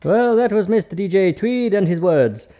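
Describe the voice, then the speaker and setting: Man playing a high-voiced formal stage announcer. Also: expressive, moderate pace. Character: polite, formal male stage master of ceremonies. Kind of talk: formal announcing in radio parody. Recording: muffled, noisy vintage radio.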